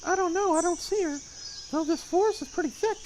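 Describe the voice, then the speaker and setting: A man voicing a perky, teasing young woman in a visual novel, in a squeaky, flippant falsetto. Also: a little fast, darkish tone, a little hiss or hum, rough recording. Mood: puzzled. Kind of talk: visual novel character read aloud.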